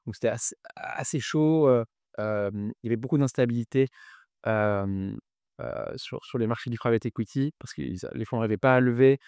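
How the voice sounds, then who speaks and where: Guy in his 30s chatting as an interview guest, clear smooth voice, lots of ums and repeats, very dry room. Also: medium pitch, moderate pace, a little mellow, dry recording. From New Caledonia. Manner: hesitant, frequent fillers and repeated words. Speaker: podcast guest, finance executive. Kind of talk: conversational interview answers.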